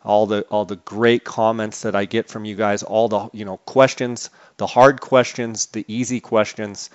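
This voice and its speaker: A man's voice: on the low side, level tone, normal speed. A man hosting a podcast in a conversational way, on slightly dull, lo-fi audio. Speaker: podcast host.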